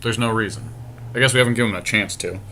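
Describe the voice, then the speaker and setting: Bright-voiced man in casual game commentary. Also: on the low side, very fast, a little hiss or hum. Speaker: podcast co-host.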